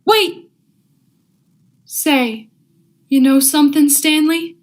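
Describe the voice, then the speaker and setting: A woman's voice: high-pitched, drawn-out words. A young woman acting an uneasy, grumbling male accomplice in vintage radio drama style, urgent and slangy. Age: young adult. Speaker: student actor.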